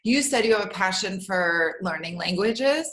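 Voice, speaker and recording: A woman's voice: mid-range voice, expressive, moderate pace, fairly bright tone. A woman hosting a casual interview, asking questions in a friendly way with some hesitant fillers. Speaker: interviewer, host.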